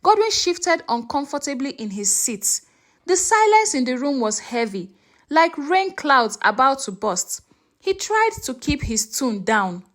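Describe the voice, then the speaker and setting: A woman's voice: high-pitched, very animated, unhurried, a bit bright. A woman storyteller narrating a scripted story in a dramatic way.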